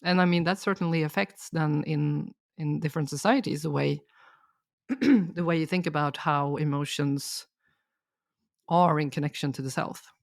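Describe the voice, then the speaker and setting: Lady, a bit of vocal fry, calm explainer, dry close sound. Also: low voice, moderate pace, no room sound. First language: Swedish. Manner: thoughtful, explanatory, steady. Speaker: guest expert. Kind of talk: reflective podcast conversation.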